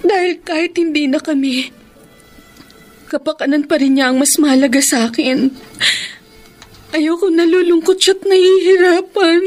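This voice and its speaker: A woman's voice: very high voice, moderate pace, long pauses, darkish tone, faint music behind. An actress playing a sorrowful, self-sacrificing woman in love, melancholy and emotional, with a Filipino accent, in a radio drama. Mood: tearful devotion.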